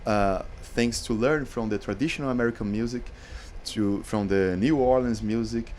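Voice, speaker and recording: Brazilian man speaking halting English. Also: medium pitch, a little mellow, slight background noise. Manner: searching for words in a second language. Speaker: band member. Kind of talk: radio interview.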